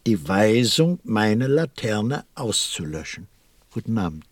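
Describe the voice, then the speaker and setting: Slow, weary, grumbling lamplighter. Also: male voice, lowish voice, big pitch swings, no room sound, studio quality, full character voice. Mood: dutiful, curt. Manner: resigned, grumbling, literal-minded. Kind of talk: German audio drama acting.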